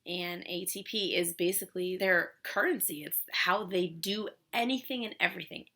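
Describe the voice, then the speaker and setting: A woman in her thirties hosts her own fertility vlog, slightly hoarse because she is losing her voice. Her voice sits a little low but comes across bright and forceful. She explains things earnestly, with emphatic phrasing. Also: moderate pace. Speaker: fertility channel host. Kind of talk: educational vlog talk.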